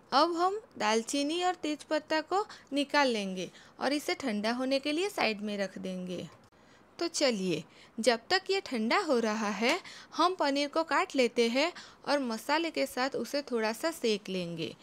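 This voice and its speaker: Calm woman teaching a home recipe. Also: fairly high pitch. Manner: calm, instructive, homely guidance. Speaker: home cooking channel host. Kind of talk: step-by-step recipe voice-over.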